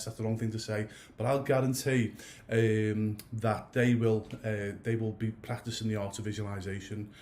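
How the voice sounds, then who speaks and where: A man's voice: on the low side, darkish tone. A man in his thirties or older giving a casual coaching talk to goalkeepers. He rambles, restarts and goes off on tangents, and his nose is stuffy from a cold. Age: 30s or older. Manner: rambling, many restarts and asides.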